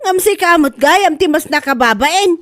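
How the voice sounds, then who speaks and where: High voice, Ilocano Filipino accent, sharp-tongued scolding mother, woman acting, exclamatory, radio comedy drama. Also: lively intonation, normal speed. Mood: scolding, angry. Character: indignant, sharp-tongued mother defending herself, later a wife.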